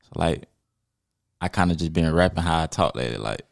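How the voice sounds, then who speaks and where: A young man from Florida, a rapper guest on a podcast, talking in a raspy, mellow, laid-back slangy way. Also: sits low, brisk, no room sound, clean studio sound. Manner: relaxed, slangy, chill. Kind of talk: casual podcast interview.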